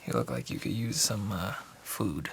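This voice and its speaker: A man's voice: sing-song, normal speed, noisy background, exaggerated voice. A man playing a friendly, nosy bartender in an ASMR noir radio drama, talking in a hesitant, chatty half-whisper full of uhs. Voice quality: gravelly low whisper.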